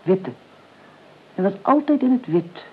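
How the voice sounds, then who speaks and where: A thin, phone-like old radio recording with a noisy background carries an actress playing a calculating older aunt. She is sardonic and imperious, measuring out her words drily and leaning slowly on the important ones. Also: medium pitch, animated, lightly acted. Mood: sardonic reminiscing. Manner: measured, dry, drawing out key words. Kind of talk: Dutch radio play acting. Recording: tinny, band-limited old radio recording, clear noise.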